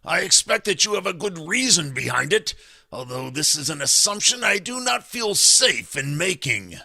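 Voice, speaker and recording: A pompous old curmudgeon in a comic audio serial. The voice is high and swooping, with a piercing edge. He speaks formally and impatiently. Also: big pitch swings, moderate pace, gravelly, bright, forceful tone, full character voice. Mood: indignant. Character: crotchety old male suspect, formal and blustering. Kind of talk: comic radio serial acting.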